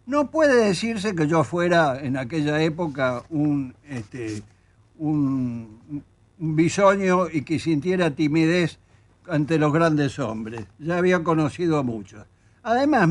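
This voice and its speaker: Emphatic Argentine man, lively high voice. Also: a little slow. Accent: Argentine Spanish. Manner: emphatic, indignant, reads quotes aloud. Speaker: author guest. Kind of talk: studio interview conversation.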